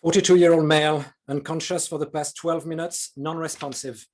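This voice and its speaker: A woman acting a calm, reassuring emergency medical worker in a table read. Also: deep voice, normal speed. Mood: urgent, clinical.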